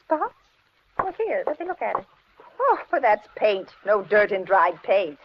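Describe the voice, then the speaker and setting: An actress playing a fussy, kindly, stubborn older landlady in a chatty, expressive 1940s radio style. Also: medium pitch, measured pace. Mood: fussy, coaxing. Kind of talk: 1940s radio acting, first-person narration. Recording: noisy, muffled 1940s radio recording.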